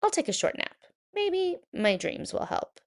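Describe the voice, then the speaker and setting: A woman voicing an earnest, worried male painter in a children's picture book, with animated, expressive delivery. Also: very high-pitched, unhurried, pitched above the performer's own voice, exaggerated voice.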